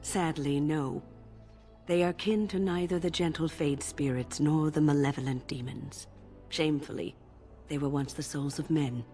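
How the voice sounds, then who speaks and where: A woman playing a kindly, composed older female mage in a fantasy video game, speaking in a measured, gently instructive way. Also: low voice, fairly even intonation, faint music behind. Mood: grave, instructive.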